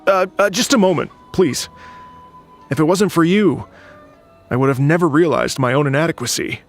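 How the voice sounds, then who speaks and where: A man voicing a proud, honorable senior swordsman in a video game, with formal, gruff delivery. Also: fairly high pitch, moderate pace, natural voice. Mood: humbled, formal. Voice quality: gravelly. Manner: formal, declarative. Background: music.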